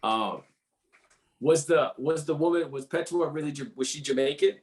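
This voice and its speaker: A man's voice: on the high side, normal speed. A man from the Caribbean, an actor, speaking earnestly and casually in a discussion, often checking that he is understood. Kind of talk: casual Q&A comment.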